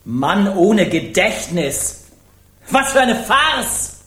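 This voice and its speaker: An actor playing a theatrical, indignant Parisian artist in a German radio crime drama, declaiming with scorn. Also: male voice, high-pitched, very animated, extremely slow, pushed and bright, heavily acted. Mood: scornful, indignant. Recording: roomy, echoing interior.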